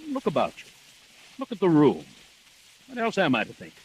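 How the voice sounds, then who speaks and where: An actor playing a hesitant, sceptical family doctor in a 1940s radio murder drama. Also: male voice, on the high side, huge pitch swings, moderate pace, slight background noise, low-quality recording. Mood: reluctant, grave.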